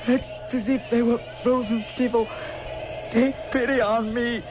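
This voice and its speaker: A man's voice: extremely high pitch, steady tone, a little slow. An actor playing a terrified, haunted young man, anguished and pleading in an old radio drama. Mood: frozen dread. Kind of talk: 1970s radio drama acting. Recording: dull, noisy old radio recording.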